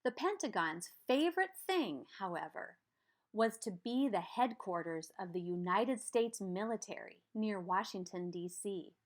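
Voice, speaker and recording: A woman reading a children's story aloud in an expressive, deliberate way, stressing key words. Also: mid-range voice. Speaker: storybook reader.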